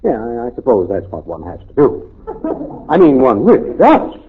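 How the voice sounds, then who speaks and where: An actor playing a bemused middle-aged father with hesitant, gentle sarcasm in an old-time radio comedy. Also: medium pitch, wildly swooping pitch, moderate pace, slightly rough. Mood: wry. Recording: noisy, phone-like old radio recording.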